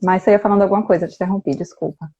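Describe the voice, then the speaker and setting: A woman hosts a casual online interview in a relaxed, dark-toned voice. She is informal and chatty, checking in with little tag questions. The call audio is close and dry, a bit dull and lo-fi. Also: mid-range voice, racing, no room sound. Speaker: host of a live chat.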